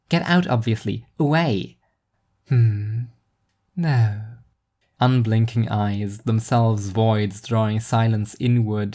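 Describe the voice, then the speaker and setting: A woman reading scripted literary fiction as a narrator, in a measured, wry way. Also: extremely low pitch, warm tone.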